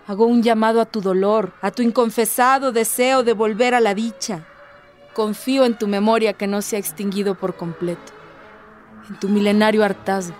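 A woman's voice: mid-range voice, natural voice. An actress playing an impassioned, devout woman who pleads earnestly, with theatrical, declamatory delivery in a radio drama.

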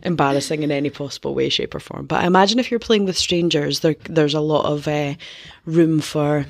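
A woman's voice: deep voice, fairly quick, no echo, clean studio sound. A woman co-hosting a casual podcast, wry and self-deprecating, often laughing at herself. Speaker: podcast co-host.

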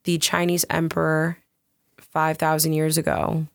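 A woman co-hosting a casual podcast, chatty and upbeat, with a soft soothing voice that often breaks into giggles. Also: low voice, monotone, measured pace, gravelly, no room sound, clean studio sound. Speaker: podcast co-host.

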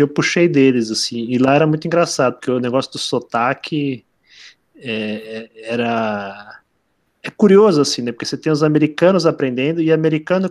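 A man's voice: mid-range voice, no echo, clean studio sound. A man co-hosting a podcast, telling anecdotes in a casual, hesitant way with many restarts. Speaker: podcast co-host.

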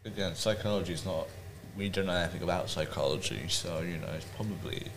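A man in a casual podcast chat who talks haltingly, restarting and hedging as he goes. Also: sits low. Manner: halting, restarts and hedges often. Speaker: podcast co-host.

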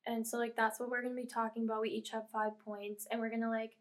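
A young woman co-hosting a podcast in casual chat, with a soft, quiet voice and lots of "like" fillers, while she is losing her voice. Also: a little high, little pitch movement, quick pace. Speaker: podcast co-host.

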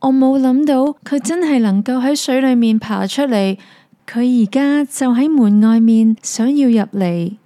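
A woman's voice: unhurried, warm tone, no echo, natural voice. A woman doing an upset young princess. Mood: anxious. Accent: Hong Kong Cantonese. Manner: higher, plaintive and agitated.

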